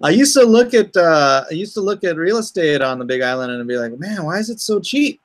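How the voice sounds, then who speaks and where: A man chatting casually as a livestream host, haltingly and often muttering to himself. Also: fairly high pitch, animated. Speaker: comic artist and livestream host.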